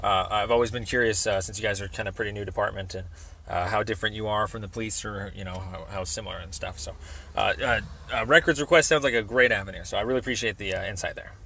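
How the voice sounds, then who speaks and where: A man with an American accent and a fairly low voice talks casually on a call. He rambles hesitantly, padding his sentences with ums and circling toward his point. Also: gravelly, sharp tone, slight background noise. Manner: hesitant, rambling, halting delivery thick with ums. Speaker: member of the public calling a city department. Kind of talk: casual phone conversation.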